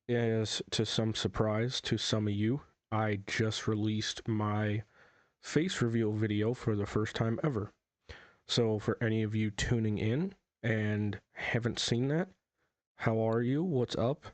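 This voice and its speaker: A young man talks through his solo podcast in a low, fairly monotone voice, wandering off on tangents and correcting himself as he goes. His throat is still slightly hoarse from a cold, and the recording sounds dry and close, with little room around it. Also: no room sound. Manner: rambling, frequent fillers and self-corrections. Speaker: podcast host. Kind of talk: casual solo podcast talk.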